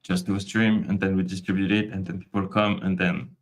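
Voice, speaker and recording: A man's voice: low voice, little pitch movement, brisk. A man hosting a podcast who teases his guests playfully and jokes around. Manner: playful, teasing. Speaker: host. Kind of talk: casual podcast chat.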